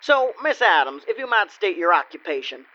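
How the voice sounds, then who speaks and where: An actor playing a smug, folksy country lawyer in a comic radio play, with theatrical courtroom patter. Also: male voice, high voice, sing-song, normal speed, highs cut off. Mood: showboating. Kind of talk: comedic old-time radio play acting.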